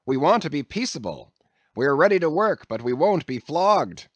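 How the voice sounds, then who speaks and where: A man's voice: a little high, very animated, moderate pace, no echo, pitched above the performer's own voice. A man voicing a blunt, defiant sailor who leads a group of mutineers. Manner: blunt, pleading and threatening by turns.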